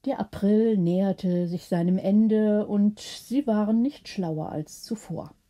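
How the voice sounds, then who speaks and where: A woman's voice: medium pitch, takes it slowly, mellow. A woman narrating a scripted bedtime story as an audiobook reader.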